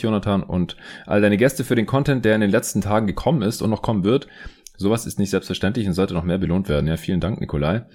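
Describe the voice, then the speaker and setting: Guy w a low voice hosting a sports podcast, chatty, reads listener questions. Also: a little mellow. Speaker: podcast host.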